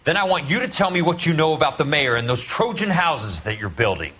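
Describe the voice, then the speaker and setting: Dry, hard-boiled American investigator, noisy background. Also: male voice, fairly high pitch, big pitch swings, fairly quick, fairly bright tone. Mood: menacing, sardonic. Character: tough, sardonic 1940s male investigator. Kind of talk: dramatic game voice acting.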